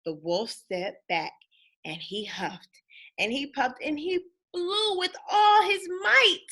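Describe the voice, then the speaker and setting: A woman reads a children's story aloud with a bright, fairly high voice. Her delivery is dramatic and unhurried, addressed directly to young listeners. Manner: dramatic, pausing to ask listeners questions. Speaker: storybook reader. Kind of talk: animated children's read-aloud.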